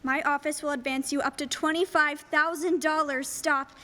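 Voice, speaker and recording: Woman as high-voiced earnest radio-play heroine. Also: fairly even intonation, moderate pace, sharp tone. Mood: excited. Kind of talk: stage radio-play acting. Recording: reverberant hall, faint noise.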